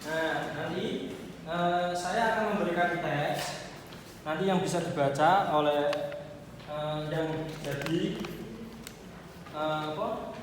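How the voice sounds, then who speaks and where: Higher-voiced young Javanese man teaching, noisy recording. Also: slow pace, long pauses. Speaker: student teacher. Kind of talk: classroom lecture and instruction.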